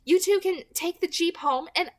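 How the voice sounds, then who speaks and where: A woman's voice: very high-pitched, normal speed, voice pushed up. A woman voicing a furious, commanding young female vampire in an audiobook, urgent and emphatic, with snarled words. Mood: grim, commanding. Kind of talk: dramatic audiobook character reading.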